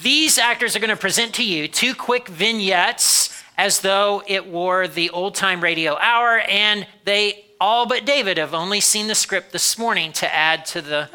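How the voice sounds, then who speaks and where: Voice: medium pitch, unhurried, few pauses, sharp tone, smooth, rough recording. A presenter giving a live, jokey, explanatory introduction to a skit.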